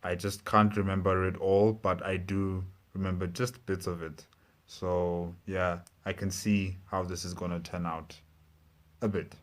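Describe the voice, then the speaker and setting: A man's voice: deep voice, level tone, measured pace. A man hosting a reaction video, commenting casually and musing aloud in a tentative way.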